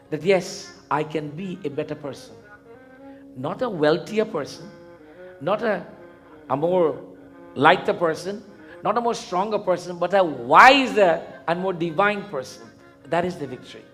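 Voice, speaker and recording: High-voiced Guyanese man preaching, music behind. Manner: didactic, questioning, deliberate repetition. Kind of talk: interactive sermon. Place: live event in a temple before a congregation.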